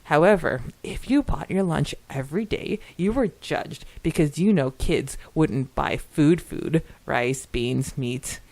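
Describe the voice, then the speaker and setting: Animated, lower-voiced woman podcast co-host. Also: slow, few pauses, dry recording. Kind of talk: casual chat and reading listener comments aloud.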